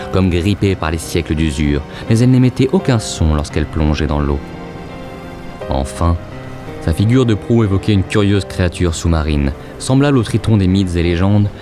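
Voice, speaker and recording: A man narrating an audio fiction in a measured, literary storytelling style. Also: a bass voice.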